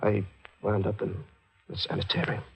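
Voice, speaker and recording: An actor playing a guilt-ridden newspaper reporter in a 1940s radio mystery, confessing in a halting, anguished way. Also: male voice, fairly low pitch, monotone, gravelly, husky, faint music behind, low-quality recording. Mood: weary, remorseful.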